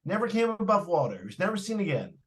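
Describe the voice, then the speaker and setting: A fussy real estate agent, played by a man in a 1940s-style radio play. His voice is a little high. He hesitates as he begins, then lays out the facts earnestly and without fancy. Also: sing-song, fast talker. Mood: earnest, dismissive. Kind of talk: 1940s live radio drama acting.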